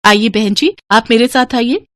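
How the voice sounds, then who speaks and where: A woman's voice: a little high, moderate pace, fairly bright tone, no echo, studio quality, natural voice. A woman playing the worried, kindly wife of a poor eatery owner in a moral story. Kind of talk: dramatised moral story acting.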